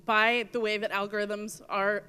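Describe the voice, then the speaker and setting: A woman giving a talk on stage with slides, earnest and measured, her voice going from coughing. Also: mid-range voice, level tone, sharp tone, smooth. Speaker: conference speaker. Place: stage in a hall.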